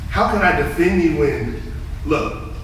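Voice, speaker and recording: An easygoing, protective uncle acted by a man, teasing and casual. He talks slowly with lively, swooping pitch, recorded at a distance in a very echoey room. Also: a little hiss or hum. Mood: exasperated, defending.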